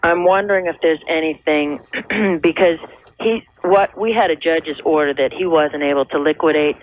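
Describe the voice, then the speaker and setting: A woman's voice: sits low, moderate pace. An American woman in her thirties speaks as a caller to a radio advice show. She explains her situation earnestly and a little hesitantly, in conversational phrases. The sound is a thin telephone line on an old radio tape. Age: 30s. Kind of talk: conversational personal account.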